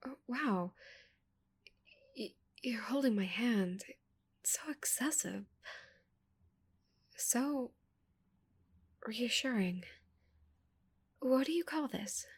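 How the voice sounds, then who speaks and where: A woman voicing a shy, curious giant female alien in a soft, breathy ASMR roleplay, with a hesitant, stammering manner. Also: on the high side, expressive, very slow, long pauses, exaggerated voice. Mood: overwhelmed, awed.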